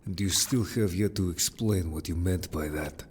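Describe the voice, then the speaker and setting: A man's voice: low voice, normal speed, a little hiss or hum, lightly acted. A man playing a protective, earnest merman in an audio story, speaking bluntly and a little formally to the listener. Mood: reproachful. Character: gruff, protective male merfolk, loyal patrolling adventurer. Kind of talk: audio drama roleplay addressed to listener.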